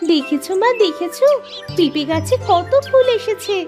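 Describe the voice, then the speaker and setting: A woman voicing an eager, curious, kind-hearted little village girl in a cartoon, excitable and full of questions. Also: extremely high pitch, normal speed, over music, voice pushed up. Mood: excited.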